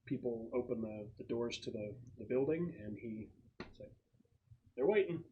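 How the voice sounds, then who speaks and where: A man's voice: medium pitch, moderate pace, narrow, tinny audio, a little hiss or hum. A man acting as game master, casually explaining and narrating a tabletop roleplaying game among friends. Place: casual group gaming session.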